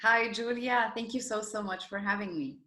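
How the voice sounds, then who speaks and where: Bright, echoey woman, enthusiastic. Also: medium pitch, fairly even intonation, moderate pace. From Argentina. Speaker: interview guest, startup founder and former journalist. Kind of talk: conversational interview storytelling. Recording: roomy, echoing sound.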